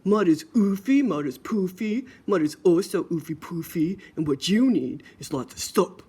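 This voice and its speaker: A woman's voice: lowish voice, animated, normal speed, warm tone, breathy edge. A woman voicing a silly, bossy brother pig, scolding in an emphatic sing-song for a storybook.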